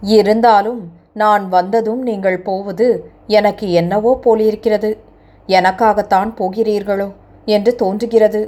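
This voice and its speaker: A woman's voice: medium pitch, steady tone, unhurried, mellow, close to an everyday voice. A woman voicing a distraught, remorseful young woman who speaks in a sorrowful, pleading way. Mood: polite.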